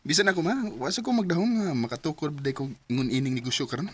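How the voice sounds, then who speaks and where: A woman's voice: low voice, expressive, very fast, slightly rough. A woman playing a modest, good-humored young man in his mid-twenties who runs a motor shop. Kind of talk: amateur radio drama acting.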